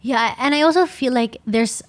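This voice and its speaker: A woman's voice: high voice, normal speed. A young Filipino woman co-hosting a podcast, chatting casually in mixed English and Tagalog, friendly and a bit tentative, with lots of "like.". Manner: friendly, tentative, uses "like" a lot. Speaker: podcast co-host.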